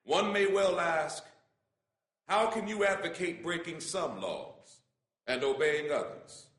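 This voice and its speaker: A man's voice: a little high, expressive, slow pace, fairly bright tone. A man giving a dramatic recitation of a written letter in an oratorical, impassioned manner with emphatic phrasing.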